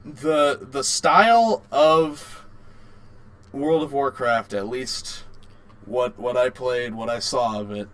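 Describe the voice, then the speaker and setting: A man chats casually as a podcast co-host. His delivery is slow and halting, and he often circles back to restart a phrase. His pitch sits in the middle range for a man. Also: fairly bright tone. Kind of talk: casual chat about video game movies.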